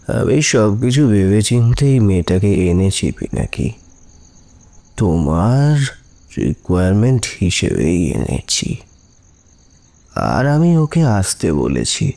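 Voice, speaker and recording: An actor playing an aging, coldly persuasive professor and crime accomplice in an audio drama, speaking deliberately. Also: male voice, lowish voice, sing-song, vocal fry, warm tone, full character voice. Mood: cold, calculating. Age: older. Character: aging, scheming professor who procures girls for traffickers. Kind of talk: Bengali audio drama acting.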